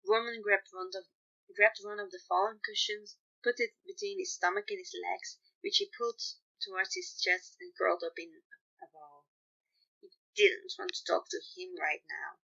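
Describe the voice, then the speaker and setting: A woman reads a story aloud with expression. Her voice is bright and forward, at an ordinary female pitch, and the recording is slightly dull and lo-fi. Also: steady tone, normal speed, vocal fry. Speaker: fan fiction reader.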